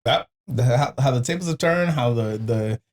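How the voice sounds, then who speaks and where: A man's voice: mid-range voice, lively intonation, normal speed. A man with a mid-range voice hosts a casual podcast. He tells stories in an informal, slangy way and swears freely as he talks. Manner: profane, slangy, informal storytelling. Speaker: podcast host.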